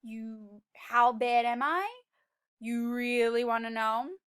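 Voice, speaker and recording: A woman puts on a storybook bad seed, a grumpy and boastful little fellow. He confesses bluntly in a bright, forceful voice. The words come slowly and with heavy emphasis. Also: fairly high pitch, smooth, pitched below the performer's own voice. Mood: menacing. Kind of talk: storybook read-aloud.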